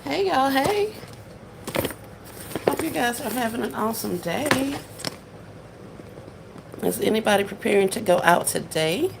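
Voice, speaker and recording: A soft-spoken woman, chatting casually with viewers on a livestream while she works on gift baskets. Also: fairly high pitch, lively intonation, unhurried, creaky, pauses often, background noise. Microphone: phone set down while working.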